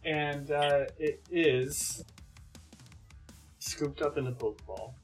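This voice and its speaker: A man running a tabletop game as game master, narrating casually in a halting, conversational way. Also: fairly high pitch, soft background music, rough recording.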